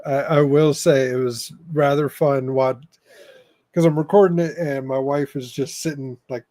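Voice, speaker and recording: A man's voice: mid-range voice, fairly even intonation, moderate pace, warm tone, no room sound. A man hosting a live podcast, chatting casually with his guest. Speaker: podcast host.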